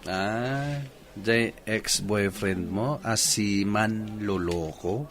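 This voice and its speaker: A man's voice: fairly low pitch, extremely slow. An actor playing a big-hearted, teasing village man with an Ilocano Filipino accent, bantering in a comedy radio drama. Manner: animated, bantering.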